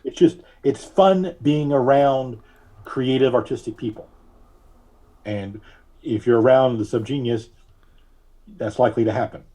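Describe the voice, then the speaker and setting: Husky-voiced man answering thoughtfully. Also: medium pitch, mellow. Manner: halting, searching for words, thoughtful. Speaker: podcast guest, artist and reverend. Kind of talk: conversational interview answers.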